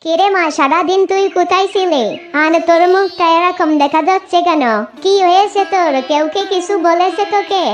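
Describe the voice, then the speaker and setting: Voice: very high voice, steady tone, normal speed, hardly any pauses, soft background music. A performer voicing an emphatic, theatrical fox villager in a children's cartoon. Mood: tender. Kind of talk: Bengali children's cartoon dubbing.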